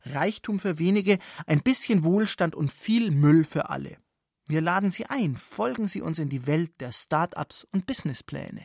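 Wry male radio host with creaky voice. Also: a little high, expressive, normal speed. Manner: wry, ironic, rhetorical. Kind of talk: scripted satirical radio narration.

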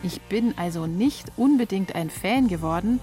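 A woman's voice: medium pitch, lively intonation, moderate pace, warm tone, soft background music. A woman delivering scripted, personal narration as the author of a radio feature.

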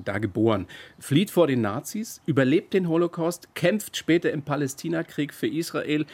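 A man's voice: medium pitch, darkish tone. A male radio host interviews a guest on air, asking friendly questions and summing up her life story for listeners.

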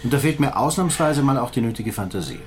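An actor playing an impatient, sceptical police inspector who questions curtly and sarcastically in a radio drama. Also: male voice, mid-range voice, expressive, fast, a little hiss or hum, natural voice. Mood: probing, sceptical. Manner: curt, probing, sarcastic. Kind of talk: naturalistic German radio drama acting.

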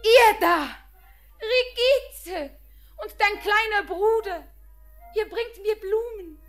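A young bride in a radio play with a high, light voice, who lingers over her verse slowly and softly. Faint music sits under her lines. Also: full character voice. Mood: despairing. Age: young adult. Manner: soft, lingering verse speech. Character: young bride, dreamy and grieving. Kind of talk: classic radio play acting.

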